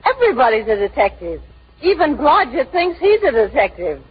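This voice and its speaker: Brassy schemer, raspy, high-pitched, swooping delivery, slangy wisecracks, old radio drama. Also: female voice, sing-song, brisk, full character voice. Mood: blunt. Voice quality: husky, raspy. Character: brassy, scheming, soft-hearted woman posing as a lady doctor. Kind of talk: 1940s radio comedy-drama acting. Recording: muffled 1940s radio recording.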